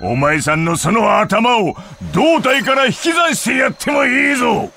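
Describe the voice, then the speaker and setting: An actor playing a manic, belligerent male challenger in a video game, shouting bombastically. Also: very high voice, animated, measured pace, vocal fry, slight background noise, exaggerated voice. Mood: belligerent, bombastic. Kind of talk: video game cutscene acting.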